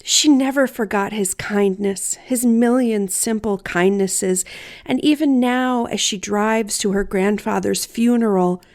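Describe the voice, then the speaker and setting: A woman storyteller reading a personal story aloud in a deliberate, theatrical, wryly humorous way. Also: medium pitch, darkish tone. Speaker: writer and storyteller.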